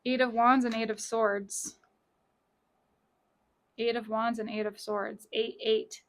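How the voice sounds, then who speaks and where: A woman with a raspy, scratchy voice giving a casual tarot reading from her small home office, chatty and repeating key lines. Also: on the high side, level tone, takes it slowly. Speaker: tarot reader.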